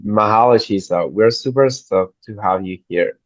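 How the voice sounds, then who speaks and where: A man hosts a student interview, reading his welcome and questions in a friendly, upbeat way. His voice is a little low. The audio is dry and slightly dull, with no room sound. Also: moderate pace, dry recording. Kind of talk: scripted interview hosting.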